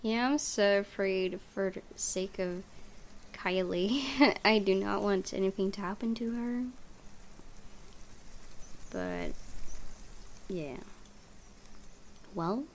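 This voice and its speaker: A woman's voice: medium pitch, sing-song, slow pace, pauses often. A woman game streamer reading story text aloud and adding casual commentary. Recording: faint background noise.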